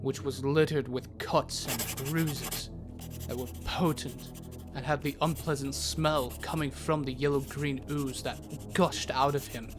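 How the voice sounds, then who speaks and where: A man's voice: medium pitch, fairly even intonation, a little slow. A man reading scripted narration for a fantasy audio story. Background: music.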